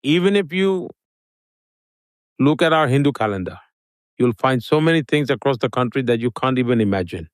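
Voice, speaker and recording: Brief: male guest voice, mid pitch, measured speech-like delivery, dry mic. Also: animated, no echo. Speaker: interview guest.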